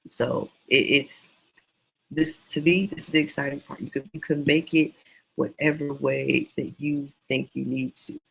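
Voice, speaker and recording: A man teaching writing in a casual, meandering, encouraging way, his voice going hoarse. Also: mid-range voice, fairly even intonation, measured pace, poor audio. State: losing voice, hoarse. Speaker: self-published author teaching writing.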